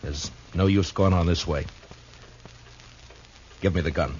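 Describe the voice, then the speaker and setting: An American actor in a 1950s radio western playing a tough, plain-spoken lawman with a calm, laconic, firm manner. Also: low-pitched, a little slow. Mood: commanding. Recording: dull, noisy 1950s radio recording.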